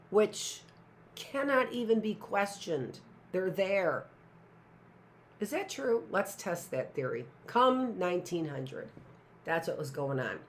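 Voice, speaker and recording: Gravelly-voiced woman teaching a live class. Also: fairly low pitch, a little hiss or hum. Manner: rambling, sarcastic asides, talks to herself. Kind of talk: live online class lecture.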